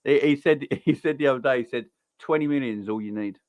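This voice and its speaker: A young man co-hosting a football watch-along, chatty and quick to laugh while telling stories. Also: mid-range voice, darkish tone, no room sound. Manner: chatty storyteller, laughs easily. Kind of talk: casual banter.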